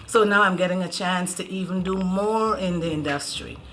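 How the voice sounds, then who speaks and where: A woman's voice: fairly low pitch, normal speed, fairly bright tone, a little hiss or hum. A woman, an enthusiastic wedding planner, telling her story in a casual interview. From Guyana.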